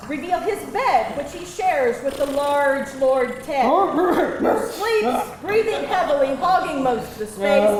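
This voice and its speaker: High, animated woman narrating a stage reading. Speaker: screenplay narrator. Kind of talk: reading stage directions at live table read. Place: live stage reading before an audience. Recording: echoey hall with loud audience.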